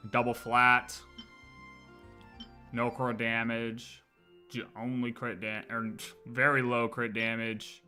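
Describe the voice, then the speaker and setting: A man's voice: medium pitch, completely flat delivery, takes it slowly, long pauses, pushed and bright, soft background music. A man livestreaming a video game, chatting casually and thinking aloud in slangy, offhand asides.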